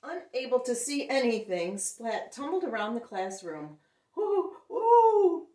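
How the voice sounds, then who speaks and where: A woman's voice: on the high side, normal speed. A woman reading a picture book aloud to young children, acting out the characters and asking the listeners questions. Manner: expressive, acts out the characters. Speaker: children's storyteller. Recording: roomy echo, distant microphone.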